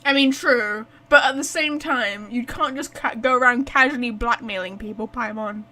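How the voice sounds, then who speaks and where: A woman's voice: on the high side, moderate pace, sharp tone. A woman giving casual, playful, reactive commentary while playing a game. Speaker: game streamer.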